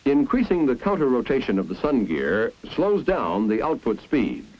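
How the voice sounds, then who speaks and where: A man's voice: medium pitch, wildly swooping pitch, normal speed. An American man narrating a 1950s instructional film in a didactic, explanatory way. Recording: dull, muffled 1950s film soundtrack.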